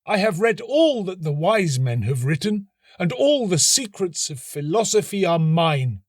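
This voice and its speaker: A lovelorn young student from a storybook, acted out by a male narrator. His voice is lifted high and slowed into long, plaintive phrases, full of melodramatic romantic despair. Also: big pitch swings, no echo, studio quality, heavily acted. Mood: despairing, tearful. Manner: plaintive, melodramatic, drawn-out sighing delivery. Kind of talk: storybook reading.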